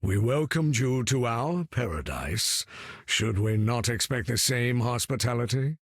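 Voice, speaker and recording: An actor playing a proud, commanding old male mutant leader in a video game, with a lofty, condescending manner and a husky, gravelly voice. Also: medium pitch, expressive, a little slow, dry recording, studio quality. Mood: imperious. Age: older.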